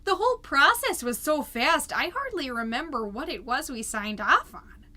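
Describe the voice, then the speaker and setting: A woman's voice: high-pitched, big pitch swings, normal speed, pushed and bright, a little hiss or hum, full character voice. A woman playing a chirpy, gushing suburban PTA mom who hosts a podcast, delivering a comedic monologue in a theatrical, folksy and emphatic way. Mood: gushing, excited. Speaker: podcast host.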